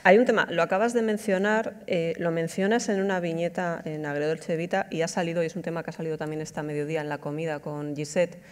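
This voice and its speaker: Low-voiced middle-aged woman interviewer. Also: fairly even intonation. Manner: measured, builds long questions. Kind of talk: onstage interview. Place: large auditorium stage. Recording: long hall reverb.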